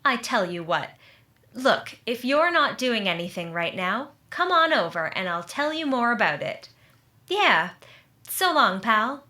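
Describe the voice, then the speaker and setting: Woman acting as chirpy radio comedy friend, chatty, forward bright tone, unhurried pace, echoey stage sound. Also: on the high side, very animated, heavily acted. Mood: chatty, gushing.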